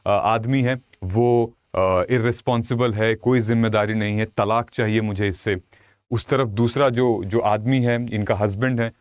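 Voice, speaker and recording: A man's voice: lowish voice, dry recording. A man giving an informal explainer to viewers as a coach and host, telling it conversationally with frequent check-in tags. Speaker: UPSC exam coach and video host.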